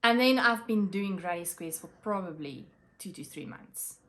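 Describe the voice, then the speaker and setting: A South African woman whose first language is Afrikaans, chatting casually to camera about her craft projects, with many pauses and fillers. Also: medium pitch, animated, a bit bright. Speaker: craft vlogger.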